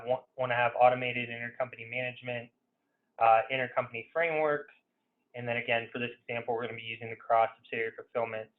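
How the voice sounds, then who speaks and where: Over a thin, phone-like webinar line, a man walks through a software demo in an informal, conversational way. His intonation stays flat and his pitch sits in a middle range. He speaks with some hesitation and leans often on "you know". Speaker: consultant presenting a software webinar. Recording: narrow phone-like webinar audio.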